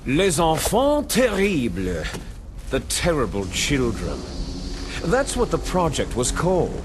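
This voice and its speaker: A bitter soldier villain from a video game, delivering grandiose monologues in a fairly high male voice that swoops widely. He is menacing and taunting, drawing out his words with theatrical relish. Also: wildly swooping pitch, slow, background noise, full character voice. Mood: grim, revealing. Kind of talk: dramatic video game cutscene acting.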